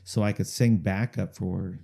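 Halting, dark-voiced man telling stories. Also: low voice, fairly even intonation, gravelly, husky. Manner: halting, repeats words, many fillers and restarts; chuckles through stories. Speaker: musician guest. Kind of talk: casual rambling interview storytelling.

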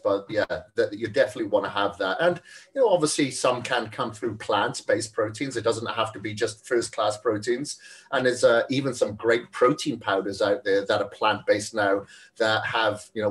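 A man's voice: fairly low pitch, distant mic. A Welsh man who is a fitness trainer, answering interview questions in a friendly, chatty way and laughing easily. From Wales.

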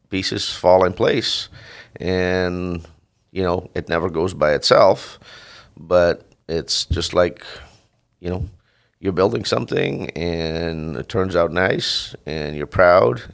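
A middle-aged man from the Netherlands with a Dutch accent, a dairy farmer answering interview questions in a halting way with many fillers and restarts. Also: low-pitched, creaky.

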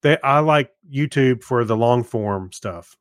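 A man's voice: medium pitch, a little slow, no echo. Casual man with a close, dry sound. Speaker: podcast co-host. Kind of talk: casual chat.

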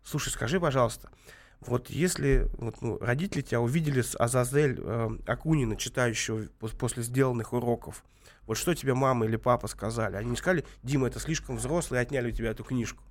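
A man hosting live radio from a studio, fighting off a cold. His voice sits in an ordinary middle register. He hesitates often and fills the gaps with drawn-out filler sounds. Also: medium pitch. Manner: halting, many filler sounds. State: has a cold. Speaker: radio host.

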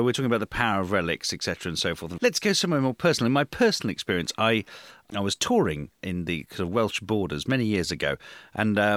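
A man's voice: medium pitch, fairly bright tone, dry recording, clean studio sound. A man, hosting a casual discussion and telling anecdotes. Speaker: host.

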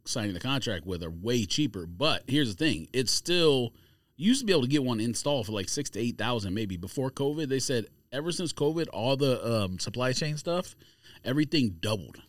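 Lively man in casual podcast banter. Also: mid-range voice, a little fast, dry recording, clean studio sound. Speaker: podcast co-host. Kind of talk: casual chat.

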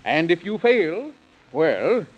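Giggly, slow-talking silly king. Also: a little high, sing-song, full character voice. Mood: dithering, amused. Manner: chuckling, dithering, drawn-out. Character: giggly, silly male king presiding over nonsense court. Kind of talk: 1930s children's radio comedy acting. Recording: muffled vintage 1930s radio recording.

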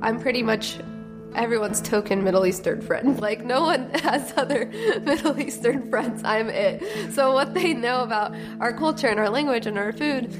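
Young woman, fairly high voice, relaxed and candid, music clear behind. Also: moderate pace. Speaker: interviewee. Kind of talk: casual personal story.

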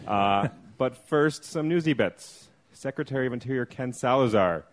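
A man hosting a podcast, chatting in a relaxed, easygoing way with plenty of uh fillers, recorded inside a clock tower room. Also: medium pitch, normal speed. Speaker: podcast host. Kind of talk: casual podcast chat.

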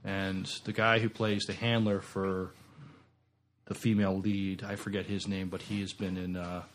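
Male podcast co-host, lowish pitch, halting conversational delivery, faintly noisy recording. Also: little pitch movement. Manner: halting, searching for words. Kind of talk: casual film discussion.

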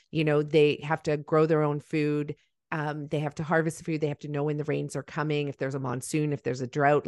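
A woman's voice: sits low, dry recording, clean studio sound. A woman from Malawi hosting a podcast, talking in an enthusiastic, conversational way and asking her guest questions. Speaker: podcast host. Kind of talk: casual interview.

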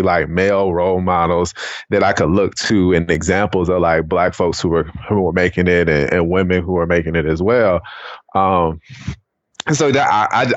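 An American man from the Atlanta area, a podcast guest casually telling personal stories in an informal, meandering way, with muffled, roomy sound. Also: low-pitched. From Atlanta area, Georgia, United States. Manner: informal, meandering, many conversational tags. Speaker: podcast guest, education non-profit founder.

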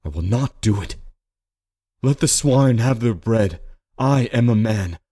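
A man's voice: mid-range voice, expressive, measured pace, warm tone, husky. A man voicing a desperate, honorable father in an audiobook story, speaking in an anguished, declamatory way. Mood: resolute, defiant. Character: desperate, honorable father wrestling with guilt, then dying. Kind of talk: dramatic storybook character acting.

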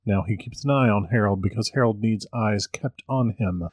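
A man's voice: lowish voice, moderate pace, breathy edge, dry recording. A man voicing an elder witch, a stern old woman with a crackling, commanding voice.

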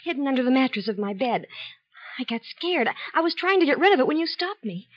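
An actress playing a frightened young woman, pleading urgently and haltingly in a 1950s radio drama, on a dull old recording. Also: high voice, very animated. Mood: anxious, pleading. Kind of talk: 1950s radio melodrama acting.

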